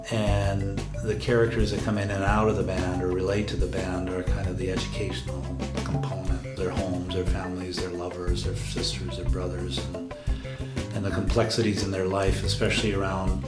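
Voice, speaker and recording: Low-voiced man explaining over loud music. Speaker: radio drama project lead explaining the production. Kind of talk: documentary interview explanation. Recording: roomy echo with loud music behind. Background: music with guitar.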